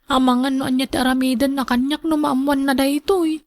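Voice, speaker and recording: A woman's voice: sits high, steady tone, brisk, darkish tone, no echo, clean studio sound, close to an everyday voice. A woman acting an anxious, meek young mother-to-be in a radio drama, plaintive, with an Ilocano accent. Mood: worried.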